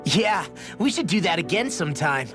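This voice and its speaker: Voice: mid-range voice, sing-song, normal speed, music in the background, close to an everyday voice. An actor voicing a brash, easily flustered teenage boy fighter in a video game, blunt and exclaiming. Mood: grumbling. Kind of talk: video game cutscene acting.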